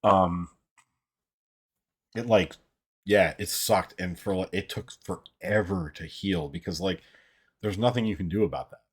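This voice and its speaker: A young man with a very low, deep voice chats loosely with his stream audience. His delivery is fairly monotone, full of "like" and "uh" and casual cursing. The voice sounds a bit worn and strained. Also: unhurried, long pauses, husky. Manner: rambling, frequent swearing and filler words. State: voice strained from an old throat injury. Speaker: livestream host. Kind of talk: casual chat with viewers.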